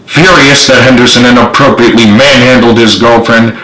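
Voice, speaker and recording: A man's voice: medium pitch, fairly even intonation, brisk, sharp tone. A man reading his own novel aloud as author, in dramatic storybook narration. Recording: roomy, echoey home recording.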